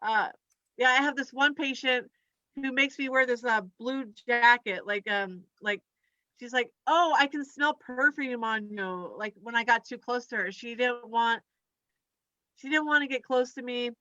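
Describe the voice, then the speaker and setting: A woman doing a conversational stand-up comedy set in a halting, scattered, giggly way. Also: a little high, pushed and bright, dry recording, lo-fi sound. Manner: halting and scattered, giggles through own remarks.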